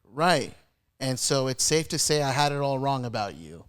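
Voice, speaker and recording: A man's voice: medium pitch, very animated, normal speed, a bit bright, clean tone. A man hosting a podcast, asking questions in a chatty, agreeable way. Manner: chatty, agreeable, many "right"s. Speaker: podcast host. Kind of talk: casual podcast interview.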